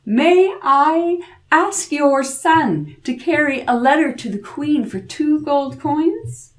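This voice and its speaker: Woman playing a scheming king, pitched up. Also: slow pace. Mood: falsely polite. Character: scheming hard-hearted king, falsely polite and commanding.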